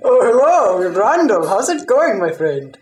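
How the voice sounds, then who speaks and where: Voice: mid-range voice, big pitch swings, measured pace. A prank phone caller talking in a goofy, profane way. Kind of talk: crude prank phone calls. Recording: echoey lo-fi phone prank recording, music behind.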